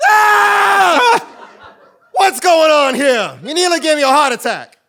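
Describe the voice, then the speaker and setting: A man playing an eccentric, melodramatic fortune-telling sage in a comic play on stage, with drawn-out pondering and sudden cries. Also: very high-pitched, animated, gravelly, sharp tone, raised voice, full character voice. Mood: startled. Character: eccentric, theatrical self-styled male sage and fortune teller. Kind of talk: comic stage acting before a live audience. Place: theatre stage, live performance.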